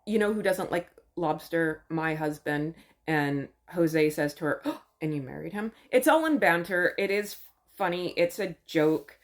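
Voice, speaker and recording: A woman's voice: lowish voice, animated, measured pace. A woman host giving a chatty, sarcastic recap of a show, full of wry asides. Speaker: channel host.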